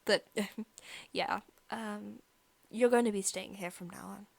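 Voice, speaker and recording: A woman playing a possessive, sadistic young vampire in a softly spoken ASMR roleplay, teasing and casually menacing, at times slipping into whispers. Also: mid-range voice, steady tone, slow pace, vocal fry, no echo, heavily acted. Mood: sweetly smug.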